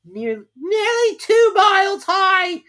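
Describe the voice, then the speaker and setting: A woman voicing a furious, shrieking queen who shouts her words. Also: very animated, takes it slowly, full character voice. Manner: shrill, shouting.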